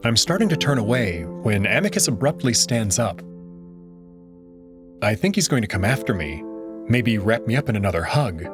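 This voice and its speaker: A man narrating a visual novel in first person with an expressive, conversational delivery. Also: lowish voice, normal speed, over music.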